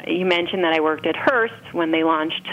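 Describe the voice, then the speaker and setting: A woman's voice: sits low, normal speed. A woman talking casually as an interview guest, explaining things with frequent conversational filler phrases. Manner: explanatory, often interjecting "you know". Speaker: interview guest, food writer and entrepreneur. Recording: muffled, band-limited with clear background noise.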